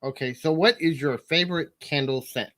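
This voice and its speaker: A man's voice: fairly high pitch, moderate pace, bright, forceful tone. A man, co-hosting a live chat in a joking, easygoing way. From Arkansas. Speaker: co-host.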